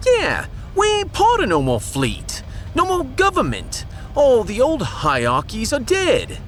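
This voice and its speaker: A man's voice: very high voice, wildly swooping pitch, unhurried, fairly bright tone, faint music behind, pitched above the performer's own voice, full character voice. A man voicing a whiny, indignant refugee in a sci-fi audio drama, with colloquial grumbling.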